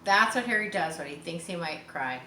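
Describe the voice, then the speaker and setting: A woman with a fairly low voice reads a children's book expressively, stopping to explain things in a chatty way. She sounds a little far from the mic in an echoey room, with faint noise behind her. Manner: expressive, pauses to explain to young listeners. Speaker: storybook reader. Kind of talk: children's read-aloud with chatty asides. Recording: roomy, distant mic, faint background noise.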